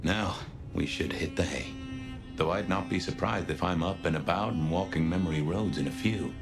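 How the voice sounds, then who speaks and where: An actor playing a folksy, laconic police detective with dry humour in a noir video game. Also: male voice, deep voice, normal speed, creaky, music in the background. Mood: wry, philosophical. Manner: laconic, wry, colloquial. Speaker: detective, narrator. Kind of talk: hardboiled noir game narration and dialogue.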